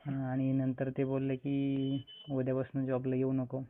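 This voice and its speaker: Flat-toned Marathi man over the phone. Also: medium pitch, normal speed, a little hiss or hum. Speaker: caller confiding in a friend. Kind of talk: casual phone conversation. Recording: narrow tinny phone line.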